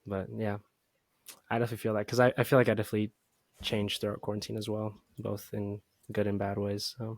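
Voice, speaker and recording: Young male co-host, lowish voice, dry close sound, rambly and reflective. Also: monotone, quick pace, no echo. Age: young adult. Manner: filler-heavy, reflective, says "you know" a lot. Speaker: podcast co-host. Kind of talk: casual chat.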